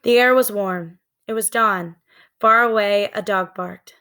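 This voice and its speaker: A woman narrating a children's book in a deliberate, expressive storytelling manner. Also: mid-range voice, clear, resonant tone. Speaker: read-aloud storyteller.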